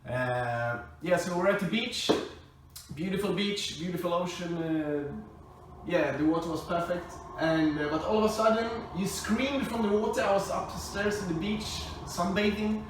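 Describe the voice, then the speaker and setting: A man's voice: high-pitched, normal speed. A young man who is not a native English speaker, telling stories casually to camera with frequent laughs and fillers. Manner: laughs often, hesitant with many fillers. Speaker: groom-to-be co-hosting a couple's video. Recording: echoey room from far off, faint hiss.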